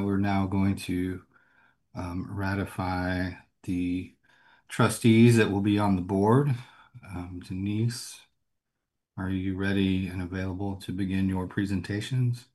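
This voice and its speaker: A man's voice: low-pitched, little pitch movement, takes it slowly, darkish tone. A man moderating an online meeting, speaking hesitantly with many filler words.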